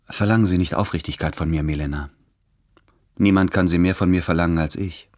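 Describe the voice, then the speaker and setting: An actor playing an anguished, self-doubting man in his late thirties, speaking in measured, introspective literary monologue in a radio drama. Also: low voice, steady tone, a little mellow, lightly acted.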